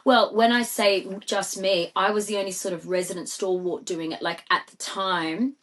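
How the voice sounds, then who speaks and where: A woman's voice: medium pitch, fairly even intonation, normal speed. A middle-aged Australian woman, a singer and DJ, chatting as a podcast guest in a rambling way with many fillers. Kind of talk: casual podcast conversation.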